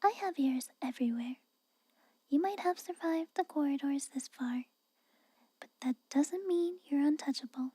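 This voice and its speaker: A woman performs a haughty, guarded castle maid for an ASMR fantasy roleplay. Her high whisper is soft, breathy and extremely mellow, recorded close and dry. She speaks haltingly, cautioning the listener while grudgingly hiding that she cares. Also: no room sound, close to an everyday voice. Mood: cautioning, stern. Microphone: close microphone.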